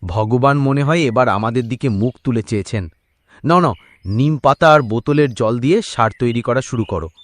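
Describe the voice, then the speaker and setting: A man's voice: mid-range voice, moderate pace, no room sound, close to an everyday voice. A man playing a poor, hopeful, devout village farmer in a cartoon, exclaiming in an earnest, folksy way. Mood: earnest, confiding. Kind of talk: Bengali cartoon voice acting.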